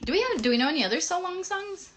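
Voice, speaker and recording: A woman co-hosting a children's puppet show, chatting casually in an encouraging, gently instructive way. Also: extremely high pitch, animated, very fast. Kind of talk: casual interactive chat with children.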